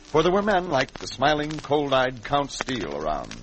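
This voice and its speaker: Dramatic old-time radio announcer, swooping delivery. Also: male voice, mid-range voice, wildly swooping pitch, moderate pace, noisy background. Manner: dramatic, scripted storytelling. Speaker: announcer and narrator. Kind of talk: 1940s-50s radio drama narration. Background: music.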